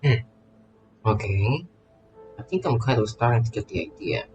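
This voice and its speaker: A man streaming a game, reading dialogue aloud in a halting way with repeated words and casual reactions. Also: mid-range voice, expressive, dull audio, over music.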